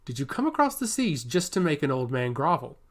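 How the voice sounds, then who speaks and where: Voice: medium pitch, big pitch swings, a little fast. A narrator playing an old fantasy king, proud and furious. He declaims formally and indignantly, with big swings in intonation. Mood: scornful anger.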